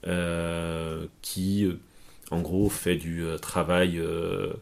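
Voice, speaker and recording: Gravelly low-voiced man, hesitant. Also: level tone, drawn-out words, darkish tone. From Portugal. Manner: hesitant, many fillers and restarts. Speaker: guest. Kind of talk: conversational interview.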